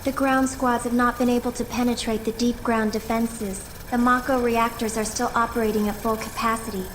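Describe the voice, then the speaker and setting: A woman playing a serious, earnest young female operative in a video game, explaining things in a measured way. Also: a little high, level tone, slight background noise, close to an everyday voice. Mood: urgent.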